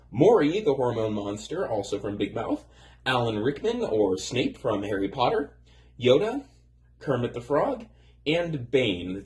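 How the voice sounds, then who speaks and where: A man's voice: mid-range voice, darkish tone. A man, a podcast guest, introducing his voice-acting performance in a friendly, presentational way.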